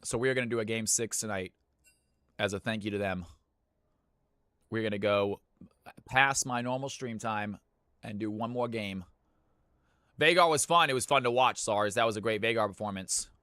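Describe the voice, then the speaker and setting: A man hosting viewer games on a live stream, chatting casually and thanking viewers, with sudden loud hype-announcer bursts. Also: lowish voice, normal speed, long pauses, clear, resonant tone, no echo. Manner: hype announcer bursts between easygoing chat.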